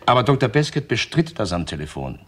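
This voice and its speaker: A man's voice: fairly low pitch, very animated, fast talker. An actor playing a dutiful police officer giving factual reports to his chief in a 1960s German radio crime play. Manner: factual, dutiful, crisp phrasing.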